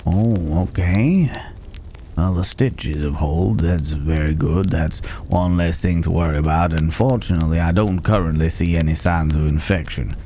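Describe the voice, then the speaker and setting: A man playing a calm, protective cowboy stranger in an ASMR roleplay, talking in an unhurried, folksy way with hesitant pauses and gentle teasing. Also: deep voice, a little hiss or hum. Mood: concerned, reassuring. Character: gruff but caring male cowboy stranger, calm and protective. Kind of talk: immersive ASMR roleplay acting. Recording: outdoor night ambience with chirping crickets.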